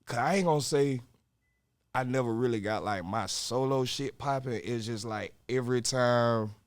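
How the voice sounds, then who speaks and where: A young man, a rapper guest talking in a relaxed, rambling, slangy way. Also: mid-range voice, moderate pace, no echo. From Augusta, Georgia, US. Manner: relaxed, rambling, slangy with fillers like "like" and "you feel me". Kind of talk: casual interview chat.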